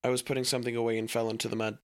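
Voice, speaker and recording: A man's voice: medium pitch, moderate pace, fairly bright tone, dry recording, studio quality, pitched above the performer's own voice, lightly acted. A man voicing a nervous teenage boy who stammers and hides something. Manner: stammering, jittery.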